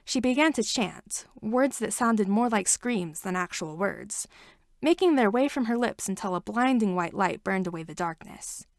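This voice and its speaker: Female podfic reader, fairly high voice, dry close sound, expressive storyteller. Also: gravelly, dry recording. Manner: expressive storytelling, shifting emphasis. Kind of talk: reading fan fiction aloud.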